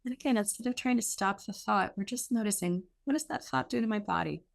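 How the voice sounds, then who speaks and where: A woman meditation teacher giving gentle guided instruction in a soothing, unhurried way with pauses between short phrases. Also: medium pitch, vocal fry.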